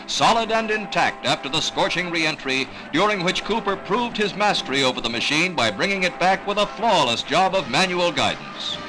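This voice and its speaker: An American man reading scripted 1960s newsreel narration in a dramatic, measured announcer delivery. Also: high voice, animated, rough recording. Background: music.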